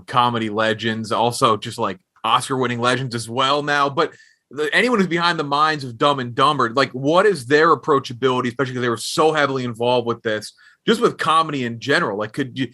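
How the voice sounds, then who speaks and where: An American man with a bright, forceful voice at a medium pitch hosts a casual entertainment interview. His questions are wordy and conversational, often restarting midway. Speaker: entertainment podcast host and interviewer.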